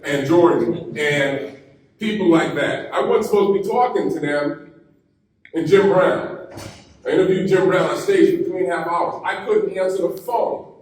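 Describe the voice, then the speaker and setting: A man giving a graduation keynote, telling stories in a slow, deliberate way. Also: fairly high pitch, darkish tone. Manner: storytelling, slow deliberate delivery. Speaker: keynote speaker. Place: university ceremony hall. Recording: echoey hall, mic heard from a distance.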